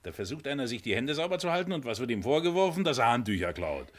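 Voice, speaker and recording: An actor playing a talkative, aggrieved but loyal ship's mate in a German crime radio play, complaining with theatrical sarcasm. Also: male voice, mid-range voice, big pitch swings, quick pace. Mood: aggrieved, sarcastic.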